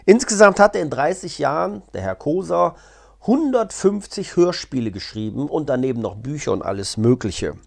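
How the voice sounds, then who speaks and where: Lively middle-aged man, chatting casually. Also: a little high, moderate pace, gravelly. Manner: colloquial, chatty, with wry asides. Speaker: vlogger and audio drama reviewer. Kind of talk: casual unscripted explainer to camera. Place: living room, seated on a sofa indoors. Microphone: clip-on lapel mic.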